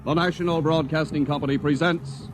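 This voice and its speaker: A man's voice: a little high, fairly even intonation, fairly quick, over music. A man delivering a grand, theatrical introduction in 1950s radio announcing style. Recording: noisy vintage radio, siren-like effects behind.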